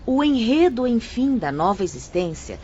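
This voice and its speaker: A woman playing a gentle female spirit instructor who explains things calmly and kindly to new apprentices in an audio drama. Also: a little high, moderate pace. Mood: patient. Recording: slightly dull, faint background hiss.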